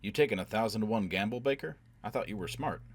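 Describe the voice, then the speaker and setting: A man voicing a gruff, hard-boiled crook in a science-fiction adventure, blunt and forceful. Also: on the low side, lively intonation, quick pace. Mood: mocking. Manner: blunt, forceful, barking delivery.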